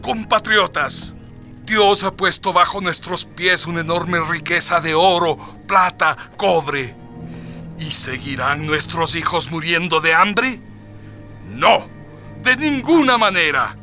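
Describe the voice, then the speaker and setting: An actor playing a pompous, demagogic president declaiming a speech to the nation in a radio drama. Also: male voice, fairly high pitch, lively intonation, slow, bright, forceful tone, soft background music, heavily acted. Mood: pompous resolve.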